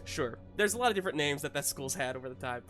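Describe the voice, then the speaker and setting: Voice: medium pitch, lively intonation, fast talker, over music. The informal, readily amused host of a roleplaying livestream, chatting casually with the group. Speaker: host and game master of a roleplaying stream.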